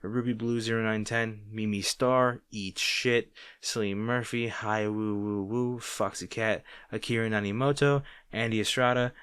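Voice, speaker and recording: Low-pitched man reading casual thank-yous. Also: steady tone, measured pace, few pauses, a bit bright, clean tone, no echo. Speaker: audio roleplay channel host.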